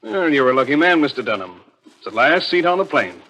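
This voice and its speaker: An actor playing a polite, affable airline ticket clerk in a 1940s radio drama. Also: male voice, on the high side, sing-song, brisk, background noise. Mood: courteous. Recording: vintage radio broadcast with music.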